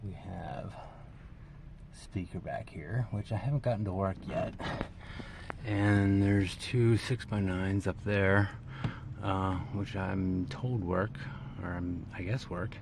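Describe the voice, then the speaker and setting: Deep-voiced, slow-talking man, noisy recording. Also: fairly even intonation, creaky. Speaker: vlogger showing his own vehicle. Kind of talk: unscripted casual walkaround commentary. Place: in and around an old SUV. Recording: noisy, vehicle ambience.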